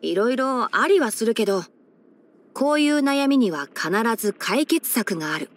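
A young male forest ranger chief with fox ears for an anime-style game. The voice is fairly high, light and boyish. He speaks in a measured, articulate way, clever and gentle with a soft wryness. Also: expressive. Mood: matter-of-fact. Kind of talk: Japanese game character voice-over.

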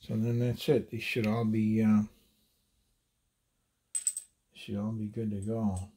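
Husky low-voiced man explaining handloading. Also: little pitch movement, warm tone. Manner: halting, many fillers and restarts. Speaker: handloading channel host. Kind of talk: casual hands-on demonstration.

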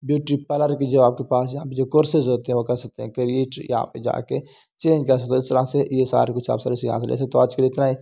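A man's voice: medium pitch, flat delivery, fast talker, vocal fry, warm tone. A man hosting a phone tutorial, chatting along with many fillers. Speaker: tutorial host. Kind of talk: phone screen-recording tutorial.

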